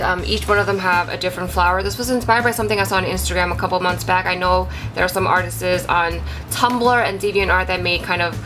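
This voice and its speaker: Congested young woman chatting over music. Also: on the low side. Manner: chatty, apologetic, with frequent um and like. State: congested from a cold. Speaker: craft channel host. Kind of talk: casual show-and-tell vlog. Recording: clear music behind the voice.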